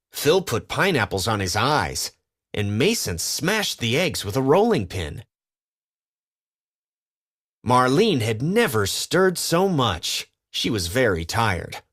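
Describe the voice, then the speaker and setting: A man's voice: medium pitch, fairly bright tone. A man reading a children's storybook as a scripted narration.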